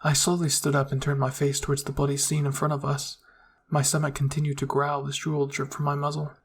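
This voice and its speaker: A man's voice: fairly high pitch, completely flat delivery, fairly quick, slightly rough. A man reading scripted first-person roleplay narration as a storyteller.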